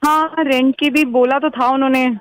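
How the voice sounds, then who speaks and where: A woman's voice: sits high, steady tone, moderate pace, dry recording. Young woman on a casual phone call. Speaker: prank call recipient. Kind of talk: casual phone conversation, Hindi mixed with English.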